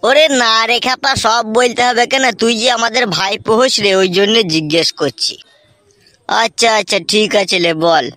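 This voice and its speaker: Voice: mid-range voice, normal speed, a bit bright, no room sound, natural voice. A performer voicing a nosy, teasing village uncle in a Bengali comedy cartoon, prying with needling questions. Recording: music behind the voice.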